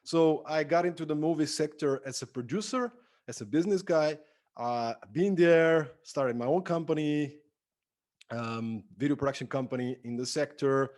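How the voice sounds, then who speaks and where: A man's voice: a little high, normal speed, a little mellow. A man from Bulgaria answering conversationally as an interview guest, with an enthusiastic manner and emphatic phrasing. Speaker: podcast guest, entrepreneur and productivity speaker.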